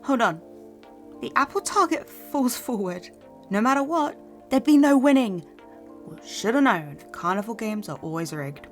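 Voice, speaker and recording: A young woman co-hosting a casual scripted recap commentary, playful and quick to swear. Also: fairly high pitch, expressive, faint music behind. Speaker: co-host.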